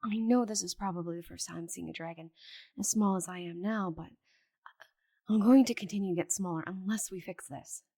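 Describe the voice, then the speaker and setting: A woman voicing a proud, stubborn, bitter female dragon in a dramatic audio roleplay, with a breathy voice and haughty, sighing delivery. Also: fairly low pitch, fairly quick, mellow. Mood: urgent. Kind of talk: scripted audio roleplay monologue.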